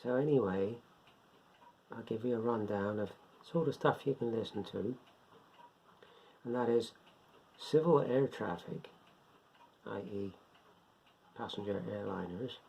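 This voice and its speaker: A man giving an informal, unscripted beginners' explainer in a rambling, step-by-step way, repeating himself, with a gravelly, husky voice. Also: fairly low pitch, unhurried, pauses often, dark tone, a little hiss or hum. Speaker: hobbyist presenter of a beginners' guide. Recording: dull lo-fi home recording.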